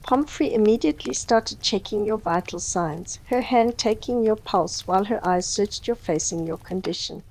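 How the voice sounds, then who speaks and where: A woman's voice: mid-range voice, moderate pace, hardly any pauses, darkish tone, rough recording. A woman giving scripted, dramatic story narration.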